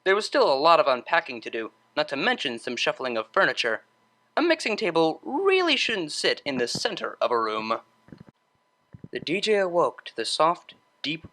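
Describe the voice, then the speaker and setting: Bright, expressive storybook narrator. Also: medium pitch. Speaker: audiobook narrator.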